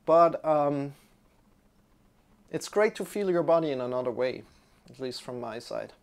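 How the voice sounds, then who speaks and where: Young German yoga teacher, casual livestream chat, clip-on mic, loose and rambling. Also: male voice, a little high, lively intonation, a little slow. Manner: rambling, friendly, restarts often. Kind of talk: casual chat with live viewers. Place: indoor room, sitting on a yoga mat.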